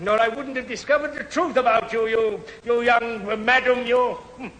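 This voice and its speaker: A man's voice: very high voice, steady tone, normal speed, fairly bright tone. A man playing a pompous, stammering retired army major with an English accent, bewildered and indignant, in a 1970s radio sitcom. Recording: hissy old radio recording, hall with audience.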